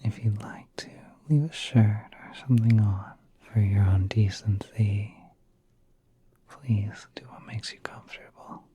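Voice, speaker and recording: A man voicing a gentle, courteous spider prince in a soft, breathy, reassuring whisper for an ASMR roleplay. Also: on the low side, fairly even intonation, natural voice. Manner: soft, unhurried, reassuring, hesitant pauses.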